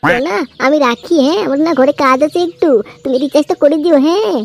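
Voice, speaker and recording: A woman's voice: sits high, fast, creaky. A woman voicing a coy, wheedling young girlfriend in a comedy cartoon, with a cutesy, coaxing manner. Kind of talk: amateur comedy cartoon dubbing.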